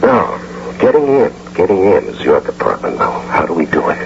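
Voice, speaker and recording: A wily bank robber telling his caper in a radio mystery. The voice is very low and gravelly, with wildly swinging intonation and dramatic pauses. It comes through a dull old broadcast recording. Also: background noise, exaggerated voice. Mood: brisk, businesslike. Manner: animated, storytelling with rhetorical questions and dramatic pauses. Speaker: narrator and lead character.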